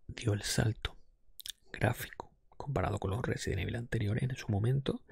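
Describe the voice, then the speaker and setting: A man from delivers ASMR in a very breathy, hushed whisper. His low voice ambles along conversationally and unhurriedly, captured close to the mic with soft mouth clicks. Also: no echo. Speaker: ASMR podcast host. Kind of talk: ASMR, improvised ranking of video games.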